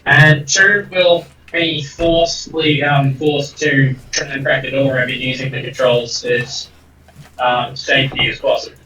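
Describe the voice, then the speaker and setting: A man's voice: mid-range voice, flat delivery, pushed and bright, reverberant, far from the mic, a little hiss or hum, poor audio. A man playing in a tabletop game, bossing his party around in casual chat. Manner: bossy, giving quick orders to his droids. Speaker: player.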